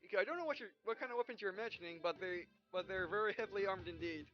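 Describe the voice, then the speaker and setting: A woman hosting a let's play, reading game dialogue aloud and commenting in a halting way, often repeating words. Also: medium pitch, dull audio. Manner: halting, repeats words, reads punctuation and speaker names aloud. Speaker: gaming video host. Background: game music.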